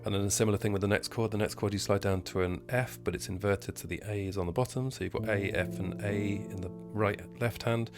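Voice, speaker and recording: A hoarse man hosting a casual piano tutorial at home, talking in a relaxed, halting way and counting beats aloud. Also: fairly low pitch. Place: at home, indoors. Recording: piano playing behind the voice.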